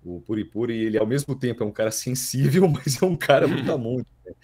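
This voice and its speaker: A man in his thirties with a Brazilian accent, explaining things in a halting, casual podcast chat as a guest. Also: medium pitch, lively intonation, dark tone, husky. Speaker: guest, voice actor.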